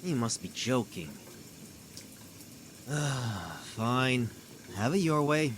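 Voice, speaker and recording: A man's voice: mid-range voice, expressive, very slow, a bit bright, slight background noise. A man playing a weary, grumbling station doctor in a scripted audio drama, hesitant and easily exasperated.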